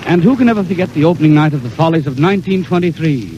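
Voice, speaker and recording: A man's voice: fairly high pitch, lively intonation, rapid-fire. A man narrating a 1930s radio show as its announcer, in a dramatic, theatrical showman's style. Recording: noisy, muffled 1932 radio recording.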